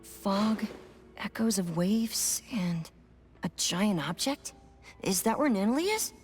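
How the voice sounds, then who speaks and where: A curious young female companion in a video game. Her voice is husky and breathy at a medium pitch, with faint music behind. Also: animated, takes it slowly. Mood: curious, pensive. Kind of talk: scripted video game voice acting.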